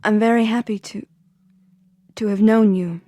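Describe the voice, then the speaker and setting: Young alien woman for radio play, female actor: gentle, troubled, tender delivery, mid-range voice. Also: medium pitch, steady tone, normal speed, natural voice. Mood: wistful. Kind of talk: radio drama acting. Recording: music under the voice.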